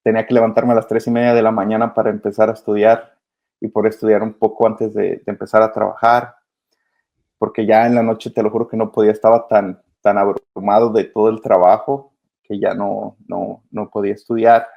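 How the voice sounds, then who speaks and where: A man's voice: on the low side, flat delivery, a little fast, creaky. A man answering interview questions casually and earnestly, often hesitating as he searches for words. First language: Spanish. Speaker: interview guest, migrant children's advocate. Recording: slightly dull, lo-fi sound.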